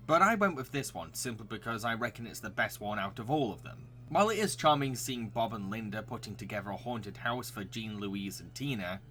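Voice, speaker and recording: A man's voice: medium pitch, pushed and bright. A man narrating a scripted review as the presenter of a recommendation video, with enthusiasm.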